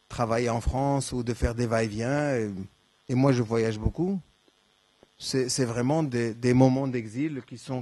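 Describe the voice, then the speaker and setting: A man from Morocco, Darija his mother tongue, answers audience questions at a conference. He speaks in a hesitant, reflective way, full of fillers and restarts, at a middling pitch. The sound is dry and close. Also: darkish tone, no room sound. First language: Moroccan Arabic (Darija). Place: lecture hall.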